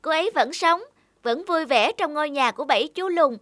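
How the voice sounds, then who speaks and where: Aggrieved high-pitched little apple. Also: female voice, expressive, fairly quick, no echo, studio quality. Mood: pleased. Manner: plaintive, emphatic. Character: aggrieved, earnest young talking apple, wrongly blamed. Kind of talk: dubbed children's cartoon dialogue. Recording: loud music behind. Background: loud music.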